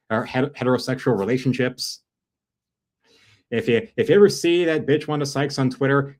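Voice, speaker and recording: A man chatting casually and opinionatedly while drawing on an art livestream. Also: mid-range voice. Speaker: art streamer.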